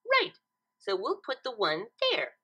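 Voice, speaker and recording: A bubbly female cartoon pony, acted out by a woman reader with a high, bright voice. She chirps along in a playful sing-song that leaps all over the place. She sounds relentlessly cheerful. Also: wildly swooping pitch, slow pace, husky, full character voice.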